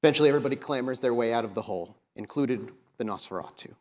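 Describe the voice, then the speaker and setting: A man with a mid-pitched voice works as game master of a live tabletop game. He narrates the scene to his players. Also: very fast, a little mellow. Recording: live convention stage recording.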